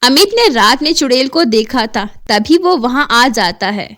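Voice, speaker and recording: A woman narrating an animated horror tale as a scripted storyteller. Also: on the high side, measured pace.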